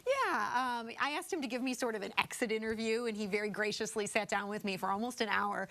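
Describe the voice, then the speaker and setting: A woman's voice: on the high side, fairly quick, fairly bright tone. A woman journalist speaking as a panelist on a TV discussion show, giving her opinions and telling anecdotes conversationally.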